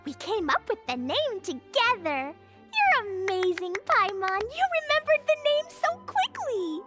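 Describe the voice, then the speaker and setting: A very high, bouncy little girl's voice for a video game character. She is sweet, eager and innocently mischievous, with hugely animated intonation over prominent music. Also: measured pace, full character voice. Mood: delighted wonder. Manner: childlike, eager, refers to self in third person. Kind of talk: video game cutscene voice acting.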